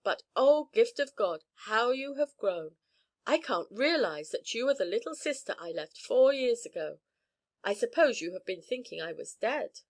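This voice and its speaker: A woman's voice: a little high, very animated, normal speed. A woman voicing a hearty young man back from prospecting, telling his tale soberly in a storybook reading.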